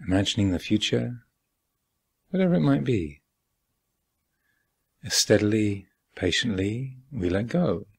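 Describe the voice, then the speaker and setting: A man with a husky voice guiding a meditation as a teacher, speaking calmly and deliberately with long pauses. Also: fairly low pitch. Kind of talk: guided meditation instruction. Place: meditation hall at a retreat.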